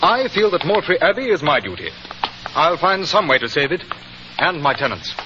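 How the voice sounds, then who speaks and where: Dignified British aristocrat, mid-pitched, lively intonation, formal, noisy vintage radio recording. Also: male voice, moderate pace. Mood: polite reserve. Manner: polite, formal. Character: dignified, reserved, proud English lord. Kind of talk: 1940s radio acting. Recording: dull, noisy, crackling 1940s radio recording.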